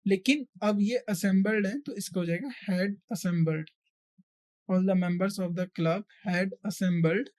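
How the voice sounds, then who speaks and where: A woman's voice: medium pitch, extremely slow, a little mellow. A woman with an Indian accent teaching a grammar lesson step by step, repeating points and checking in with short questions. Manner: repetitive step-by-step explaining, frequent check questions. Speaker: exam-prep English grammar teacher. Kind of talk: explanatory lesson delivery, mixing Hindi and English.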